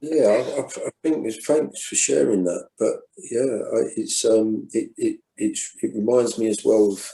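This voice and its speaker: A man's voice: medium pitch, a little slow, vocal fry, few pauses, mellow, roomy sound, low-quality recording. A man, reflecting thoughtfully in a conversation, with many restarts. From England. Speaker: guest poet.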